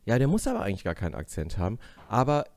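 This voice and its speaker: A man with a lowish voice talks in an animated, rambling way, full of fillers and self-mocking asides. It sounds like casual podcast chat. The recording is dry and close. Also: rapid-fire, creaky, no echo. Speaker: actor, podcast co-host.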